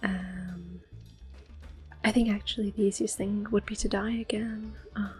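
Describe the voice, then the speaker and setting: A woman whispering soft-spoken commentary while she plays a video game for an ASMR audience, close to the microphone. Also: mid-range voice, fairly even intonation, measured pace, soft background music. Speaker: ASMR gaming host.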